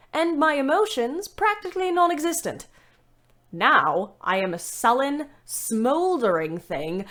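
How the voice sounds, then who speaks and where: A woman's voice: high voice, heavily acted. An actress playing a weary, bitter young ambulance driver, narrating her wartime diary expressively in an audio play. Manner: measured, literate, expressive. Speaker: narrator and lead character.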